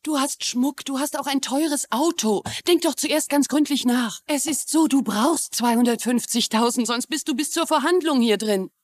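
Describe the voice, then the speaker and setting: A caring female confidante in a television crime drama. Her voice is fairly high, in a dry recording. She is insistent yet soothing. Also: moderate pace, few pauses, no echo. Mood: urgent.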